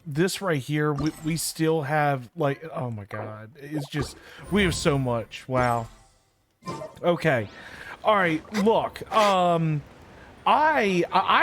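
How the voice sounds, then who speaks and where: Male streamer, high voice, slow rambling casual delivery, slangy and repetitive. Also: a bit bright. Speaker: game streamer. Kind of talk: casual live stream commentary.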